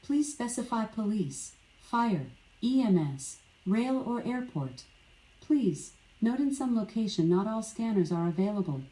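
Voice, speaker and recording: Mellow synthetic woman assistant in a roomy space. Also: medium pitch, expressive. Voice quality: synthetic, evenly measured. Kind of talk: scripted synthetic prompts. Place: indoor room, from a speaker on the floor. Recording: smart speaker playing in a roomy space.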